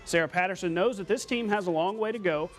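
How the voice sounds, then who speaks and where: High-pitched American male sportscaster. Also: fast talker. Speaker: sports anchor. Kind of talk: scripted local TV sportscast narration.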